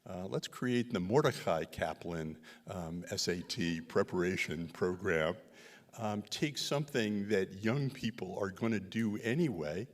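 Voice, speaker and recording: A man with a very low voice answers audience questions in a synagogue hall, and his words trail with a long reverb. He talks haltingly, full of "um"s and restarts, on a microphone. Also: animated. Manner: halting, many fillers and restarts. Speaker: visiting researcher presenting a community study. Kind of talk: Q&A answers after a talk. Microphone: handheld microphone.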